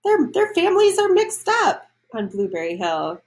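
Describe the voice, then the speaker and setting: A woman's voice: very high voice, huge pitch swings, normal speed. A woman reading a children's storybook aloud, adding explanations and questions for young listeners.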